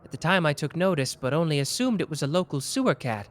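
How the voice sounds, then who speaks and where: Guy doing a cheeky young detective, kinda high voice, super lively, teasing. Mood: probing. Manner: teasing, quick-witted. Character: sharp, playful young male detective. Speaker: voice actor. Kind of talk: ASMR character roleplay.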